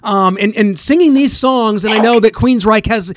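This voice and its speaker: A man's voice: sits high, moderate pace, background noise. A man hosting a radio interview, asking eager, rambling questions with frequent restarts. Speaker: radio show host.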